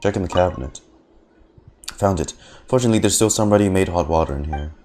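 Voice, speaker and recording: A man's voice: low voice, fast talker, mellow, faint music behind. A man streaming a video game, giving casual play-by-play reactions and reading on-screen text aloud.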